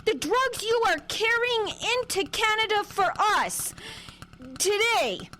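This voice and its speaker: A woman's voice: very high voice, lively intonation, slow, sharp tone, slight background noise, full character voice. A woman playing an exasperated, earnest grown daughter and reluctant amateur sleuth in a comedic audio drama, flustered and hesitant.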